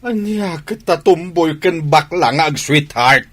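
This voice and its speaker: Blunt grumbling father, highish raspy voice, swooping intonation, Ilocano accent, exasperated, radio comedy. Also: lively intonation, unhurried.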